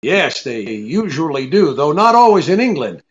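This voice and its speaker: An actor playing a cool, brisk, sardonic private detective in an old-time radio drama, with a clipped, take-charge manner. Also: male voice, fairly high pitch, very animated. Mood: businesslike. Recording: dull lo-fi radio-play recording.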